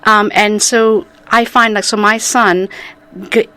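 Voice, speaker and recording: A woman's voice: medium pitch, fairly even intonation, slow, pushed and bright, clear, resonant tone. A woman from South Africa, a guest on a radio interview, explaining her foundation's work in long conversational runs with restarts. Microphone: studio microphone.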